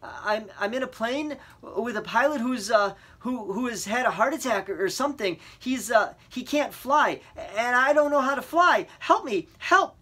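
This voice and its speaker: A man's voice: very high-pitched, animated, moderate pace, few pauses, sharp tone, husky, pitched above the performer's own voice, exaggerated voice. A man voicing a terrified teenage boy. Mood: panicked. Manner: panicked, stammering, pleading, on the edge of crying. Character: terrified teenage boy alone in a small plane. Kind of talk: audiobook character voice.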